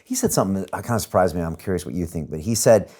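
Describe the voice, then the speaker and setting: A man with a deep, low-pitched voice hosts an interview show. He talks conversationally, reflecting ideas back and paraphrasing them in casual words as he leads into questions. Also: racing, warm tone.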